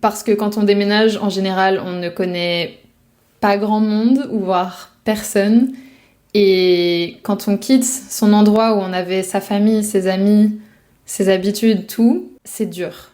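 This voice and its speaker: Woman from France, language coach; soft, warm tone, reflective and personal, addressing the viewer directly. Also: mid-range voice, fairly even intonation, slow pace. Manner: reflective, speaks directly to the viewer, casual fillers. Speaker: French speaking coach. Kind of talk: advice talk to camera.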